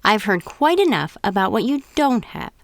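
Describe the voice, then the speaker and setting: A woman's voice: mid-range voice, sing-song, normal speed, dry recording. A woman voicing a firm, long-suffering mother bear from a children's storybook, instructing like a parent. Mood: stern, fed up. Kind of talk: children's storybook character reading.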